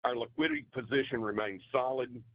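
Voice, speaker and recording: A man's voice: mid-range voice, wildly swooping pitch, fairly quick, no echo. A man giving a scripted financial report on an earnings call as a company finance executive, in a formal, businesslike way. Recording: dull, dry conference call audio.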